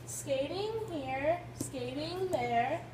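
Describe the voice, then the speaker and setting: High-voiced woman reading very slowly, echoey room. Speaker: teacher reading aloud to a class. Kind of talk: shared read-aloud of children's rhyming book. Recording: echoey, noisy room, distant microphone.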